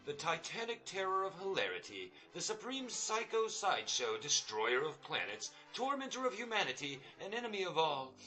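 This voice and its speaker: A man's voice: fairly high pitch, very animated, moderate pace, few pauses, pushed and bright, soft background music, rough recording. A man playing a self-pitying, sheepish space captain in a comic sci-fi radio play, with wry, hammy delivery. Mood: sheepish, resigned.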